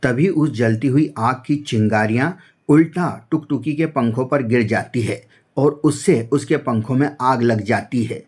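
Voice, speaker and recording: Mid-pitched man narrating a cartoon story. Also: unhurried, darkish tone, breathy edge. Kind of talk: scripted children's story narration.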